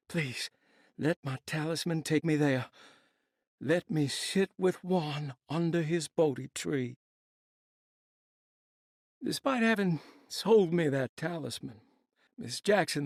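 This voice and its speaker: Casting: tormented, melancholy man, game monologue, creaky higher-set voice, anguished and theatrical. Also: dry recording, studio quality. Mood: mournful pleading, then troubled, indignant. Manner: theatrical, anguished, poetic delivery. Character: haunted, tormented, melancholy man reciting his written laments.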